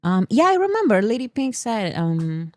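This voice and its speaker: A woman's voice: mid-range voice, big pitch swings, normal speed, a little mellow, no echo. A woman chatting casually as a livestream host, playful and prone to giggling. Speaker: host of a pop culture livestream. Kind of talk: casual chat.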